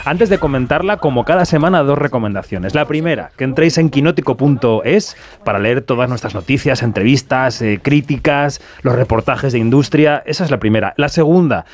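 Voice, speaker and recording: A man's voice: medium pitch, animated, fairly quick. A man hosting a radio film show, speaking in an emphatic, opinionated way. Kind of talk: radio show monologue and interview.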